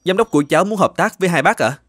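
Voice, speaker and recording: A man's voice: high voice, expressive, very fast, a bit bright, dry recording, clean studio sound. A man voicing a younger company deputy director in a cartoon, polite and businesslike. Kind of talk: animated moral-tale dubbing.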